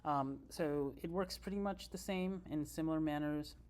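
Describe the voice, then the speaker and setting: A man presenting an informal software tutorial, explaining step by step in a halting, think-aloud way. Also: a little high, clean tone. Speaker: presenter giving a software demo. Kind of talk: informal screen-reader tutorial narration.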